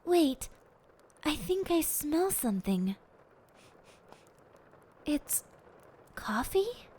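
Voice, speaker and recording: A woman's voice: high-pitched, big pitch swings, drawn-out words, warm tone, heavily acted. A woman playing a timid, sweet young detective's assistant in an audio roleplay, hesitant and apologetic, with background noise. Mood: curious, meek. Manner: hesitant, meek.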